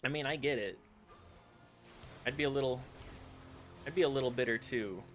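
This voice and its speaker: A man's voice: mid-range voice, moderate pace, a bit bright, faint music behind. Chatty young man streaming a game. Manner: playful, chatty and rambling. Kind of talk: gameplay commentary.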